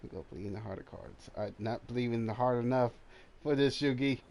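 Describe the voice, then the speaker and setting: A man's voice: mid-range voice, brisk, vocal fry, darkish tone. A man giving casual gameplay commentary as a streamer, muttering asides to himself as he plays. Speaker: gaming streamer.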